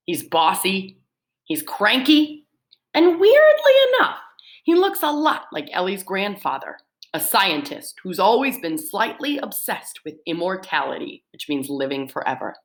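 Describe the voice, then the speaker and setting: Woman teacher reading to students, animated and expressive, in a middle pitch. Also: a little slow. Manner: lively, expressive read-aloud with swooping pitch. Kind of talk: reading book summaries aloud to students.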